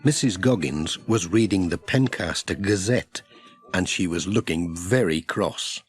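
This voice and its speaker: A man's voice: sits low, unhurried, faint music behind. A man with a British accent reading a scripted children's story as narrator.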